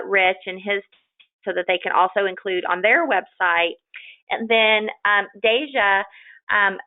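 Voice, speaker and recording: Woman moderating over a tinny phone line. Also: medium pitch. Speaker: webinar host and moderator. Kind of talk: informal webinar moderation. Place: online or phone conference call. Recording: tinny, phone-like call audio.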